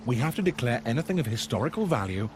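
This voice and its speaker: An actor playing an inquisitive, principled and formal adventurer in a video game cutscene. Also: male voice, medium pitch, big pitch swings, quick pace, over music, natural voice. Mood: earnest.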